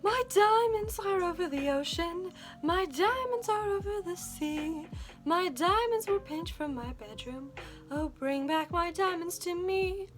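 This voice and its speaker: A grand old duchess who was once an opera star screeches high and loud , as a woman puts her on. Her voice is shrill and operatic, pushed far above a normal speaking pitch. Music plays behind her. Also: brisk. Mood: singing operatic.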